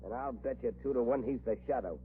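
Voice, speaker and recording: An actor playing a ruthless, scheming crime boss in a clipped, tough 1940s radio style, on a tinny old recording. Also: male voice, mid-range voice, brisk, a little hiss or hum, natural voice. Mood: scheming, impatient. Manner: clipped, bossy, tough-talking gangster.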